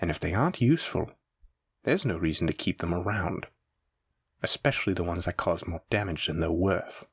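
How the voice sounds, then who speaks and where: Male mafia boss villain, played by an actor, audio drama: lowish voice, dry sound, theatrical, condescending, teasing menace. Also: animated, moderate pace, slightly rough, no room sound, full character voice. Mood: smug, calm menace. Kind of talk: scripted roleplay audio drama addressed to listener.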